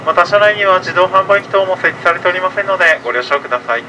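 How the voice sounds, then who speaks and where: A man's voice: expressive, quick pace, bright, forceful tone, poor audio. A man working as a train conductor, making a formal, polite, routine announcement over the train's PA. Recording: train PA with clear running-train noise. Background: moving train rumble.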